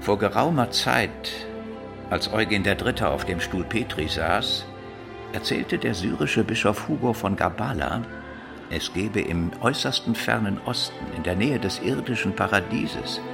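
An actor playing a shrewd, learned older bishop in a radio drama, speaking in a measured, didactic way. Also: male voice, lowish voice, animated, creaky, music in the background. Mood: solemn telling.

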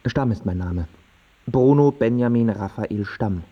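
A man's voice: medium pitch, measured pace, breathy edge, no echo, muffled recording. An actor playing a stubborn, self-absorbed young male artist in a German radio play, argumentative and pretentious in his phrasing. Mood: stubborn, questioning.

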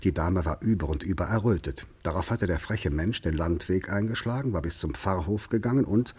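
Radio drama narrator: man, very low, husky voice, scripted literary storytelling. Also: brisk, a little mellow.

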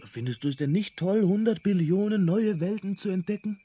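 An actor playing a breezy, evasive galactic travel researcher in a radio drama, casual and placating. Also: male voice, high voice, fairly quick, mellow, breathy edge. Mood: upbeat. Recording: faint noise, ambient sound bed.

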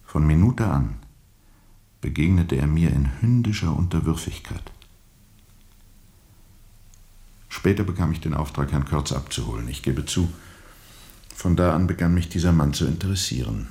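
An actor playing a world-weary steamboat captain who tells of his journey gravely and reflectively, with heavy pauses, in a radio drama. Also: a bass voice, expressive, dark tone. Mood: brooding, deliberate. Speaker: narrator and lead character.

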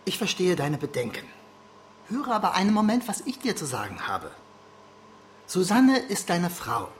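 An actor playing a devoted, self-deprecating middle-aged silent-film director in a radio play, with deliberate, theatrical phrasing. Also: male voice, sits high, big pitch swings, slight background noise. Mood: urging, coaxing. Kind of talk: first-person radio play narration and dialogue.

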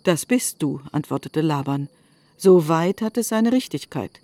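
A woman narrating literary prose in a measured, formal manner, as in a scripted radio production. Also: low voice, lively intonation, mellow, dry recording.

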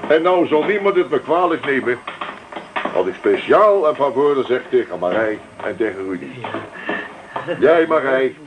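A jovial, sentimental middle-aged father and grandfather acted by a man in a radio family drama. His mid-pitched voice rises and falls freely, on a noisy old recording. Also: big pitch swings, normal speed, lightly acted. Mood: jovial, solemn. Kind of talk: Dutch radio drama acting.

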